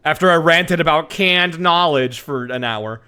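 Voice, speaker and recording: A man's voice: fairly high pitch, a little slow, background noise. A man commentating casually on a game livestream, joking with a sarcastic, theatrical manner. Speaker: streamer and voice actor.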